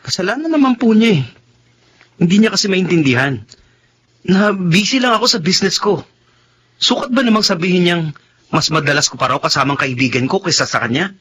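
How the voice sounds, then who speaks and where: A busy, defensive young son in a radio drama. His voice is fairly high and moves up and down a lot as he explains himself. There is faint noise behind him. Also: expressive, brisk, long pauses, a bit bright. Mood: defensive, annoyed. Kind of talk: Tagalog radio drama acting.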